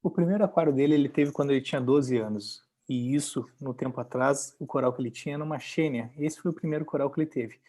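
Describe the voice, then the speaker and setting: A man's voice: medium pitch, racing, hardly any pauses, mellow. A man with a Brazilian accent, hosting an interview and interpreting for the guest in a conversational way. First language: Portuguese.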